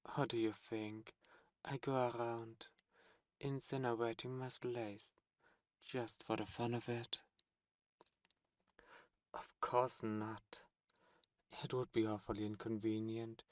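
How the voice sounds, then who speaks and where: A man's voice: mid-range voice, no echo, natural voice. A man playing a flirtatious, imperious demon prince in an intimate ASMR roleplay, with theatrical, courtly phrasing. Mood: reassuring, wry. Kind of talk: ASMR roleplay audio drama, intimate close delivery.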